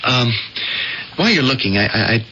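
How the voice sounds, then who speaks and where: Animated, earnest young newspaper photographer. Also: male voice, medium pitch, normal speed, a little hiss or hum. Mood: eager, flustered. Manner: hesitant, stammering, earnest. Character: earnest young newspaper photographer, loyal friend, flustered suitor. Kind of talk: 1970s radio drama acting. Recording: dull old radio broadcast, music behind.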